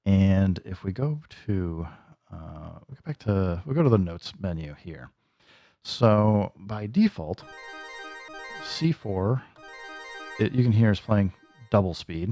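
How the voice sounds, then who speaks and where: A man's voice: low voice, dark tone. A man casually teaching music gear hands-on, thinking aloud informally as he demonstrates. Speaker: music gear tutorial host. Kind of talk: casual hands-on software tutorial.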